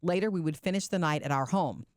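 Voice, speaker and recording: A woman co-hosting a podcast, chatting casually, laughing easily and reading listener letters aloud in a warm, reflective way. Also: fairly low pitch, quick pace, dry recording, studio quality. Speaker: podcast co-host.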